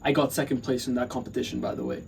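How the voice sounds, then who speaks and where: A young man playing a modest male analyst who explains in a measured way, recorded from a distance in an echoey room. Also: mid-range voice, flat delivery, creaky, a little hiss or hum, lightly acted. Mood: sheepish. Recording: echoey room, far mic.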